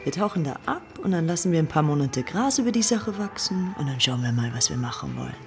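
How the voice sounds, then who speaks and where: Low-voiced, cheeky woman robber. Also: wildly swooping pitch, fast, darkish tone, faint music behind. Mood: laid-back, dreamy. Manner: sassy, slangy, casual. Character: cheeky, laid-back, worldly woman bank robber. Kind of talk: German radio drama dialogue.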